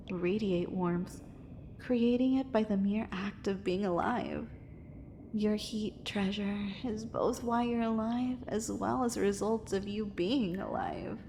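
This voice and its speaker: Slow, echoing, adoring mermaid played by a woman. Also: medium pitch, darkish tone, a little hiss or hum. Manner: formal, flowery, coaxing, intimate. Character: possessive, adoring female angler mermaid, dangerous and tender. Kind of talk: ASMR romance roleplay.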